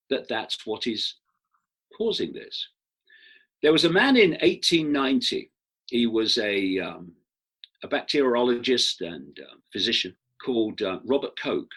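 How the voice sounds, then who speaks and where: A man explaining his points in a halting, emphatic way, counting them off. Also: mid-range voice, a bit bright, husky. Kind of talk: explanatory talk.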